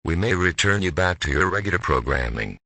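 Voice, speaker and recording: A man's voice: low-pitched, expressive, fairly quick, sharp tone, husky, no room sound. A man's synthetic text-to-speech voice reading a scripted emergency broadcast announcement in a formal manner as an alert announcer.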